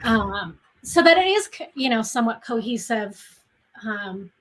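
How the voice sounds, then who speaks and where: A woman with a fairly high-pitched voice talks as a guest in an interview. Her style is casual and conversational as she explains her work. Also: sing-song, a little slow, a bit bright, a little hiss or hum. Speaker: interviewed screenwriter.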